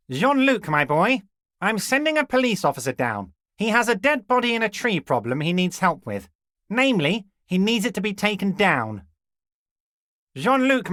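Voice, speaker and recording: Game role: smug, crooked male union boss. Unctuous fake bonhomie, very lively intonation, dry studio sound. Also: sits high, no room sound, full character voice. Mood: smug, feigned courtesy. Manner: unctuous, falsely friendly, theatrical. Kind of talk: video game character dialogue.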